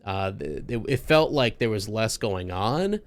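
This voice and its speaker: A man's voice: lowish voice, lively intonation, unhurried. A man hosting a casual podcast chat, talking enthusiastically and rambling a little with restarts. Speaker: podcast host.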